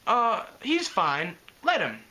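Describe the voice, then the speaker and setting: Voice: mid-range voice, huge pitch swings, slow, sharp tone, voice pushed up, full character voice, low-quality recording. A reader voicing a brash, lazy tomboy pegasus mare who sounds blunt and whiny. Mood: offhand.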